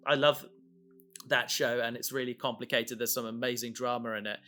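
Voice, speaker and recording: A man chatting casually as a podcast co-host, halting and often interrupting himself as he rambles. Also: mid-range voice, steady tone, bright, forceful tone. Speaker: podcast co-host and audio drama creator.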